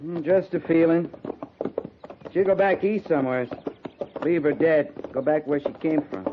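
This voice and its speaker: A man playing a plainspoken, soft-hearted cavalry sergeant in a 1950s Western radio drama, terse, folksy and deferential. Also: a little high, steady tone, a little slow, close to an everyday voice. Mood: brooding. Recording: muffled, noisy 1950s radio recording.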